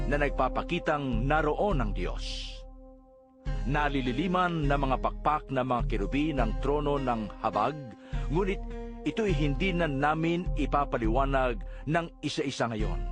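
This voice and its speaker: A man narrating scripture from a script in a measured, formal way. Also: medium pitch. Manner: measured, formal read delivery. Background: background music.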